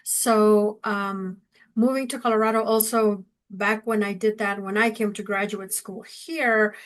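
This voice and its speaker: A woman's voice: mid-range voice, steady tone, measured pace, rough recording. Female faculty panelist, 50s, mid-range voice, informal and explanatory, thinking aloud as she answers. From born in Mexico, grew up in Southern California. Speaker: university faculty member on a program panel.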